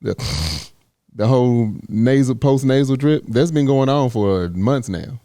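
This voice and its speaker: A man's voice: medium pitch, animated, a little slow, dark tone, no room sound, studio quality. A man co-hosting a podcast in casual, plainspoken chat, laid-back and teasing. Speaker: podcast co-host.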